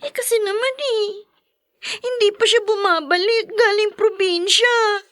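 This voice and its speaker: An actress with a Filipino accent playing a pouty, defiant young student sister, whiny and snappy, in a podcast drama. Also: female voice, very high voice, a little slow, a bit bright. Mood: worried.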